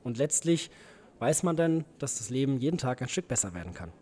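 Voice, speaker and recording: Young man, event host and speaking coach, mid-pitched, articulate and reflective in interview. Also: sing-song, brisk, warm tone. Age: young adult. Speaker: event host and rhetoric trainer.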